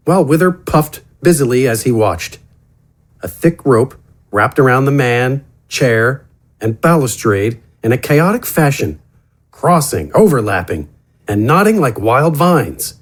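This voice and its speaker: Male narrator for fantasy audio fiction: mid-range voice, lively intonation, theatrical, measured delivery. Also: mid-range voice. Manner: dramatic, deliberate storytelling. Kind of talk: scripted fantasy story narration.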